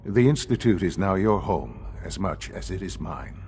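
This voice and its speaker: An actor playing an elderly male leader of a secretive science institute in a video game, speaking in a measured, formal, gravely earnest way. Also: sits low, lively intonation, a little mellow, a little hiss or hum. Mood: gracious, formal. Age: older. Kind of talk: video game dialogue acting.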